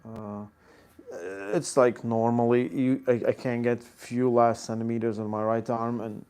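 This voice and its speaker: A man's voice: mid-range voice, little pitch movement, a little slow, dark tone, smooth. A man with a Latvian accent, an arm wrestling coach answering viewer questions in a live Q&A, explaining technique in short bursts and reading chat questions aloud.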